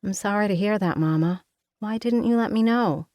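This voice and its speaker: A woman voicing a weary young woman visiting her mother, speaking gently. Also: medium pitch, moderate pace, dry recording, studio quality, voice pushed up, close to an everyday voice. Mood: gentle.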